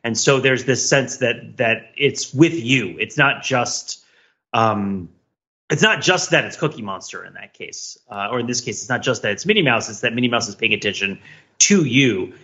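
Man, middle-aged, sharp bright voice, analytical, wordy, deliberate, conversational, slightly lo-fi audio. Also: medium pitch, lively intonation. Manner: wordy, intellectual, deliberate phrasing. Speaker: podcast co-host.

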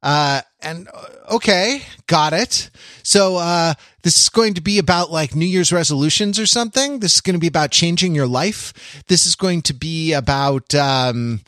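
A man co-hosting a podcast in casual chat, talking in a rambling, halting way and circling back to repeat phrases. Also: fairly high pitch, fairly bright tone, no room sound, studio quality. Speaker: podcast co-host.

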